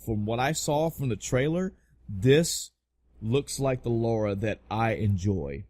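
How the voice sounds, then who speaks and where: Male podcast host, mid-pitched, casual and rambling, emphatic with lots of cursing, dry recording. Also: lively intonation, unhurried, a little mellow, no echo. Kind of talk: casual podcast chat about video game movies.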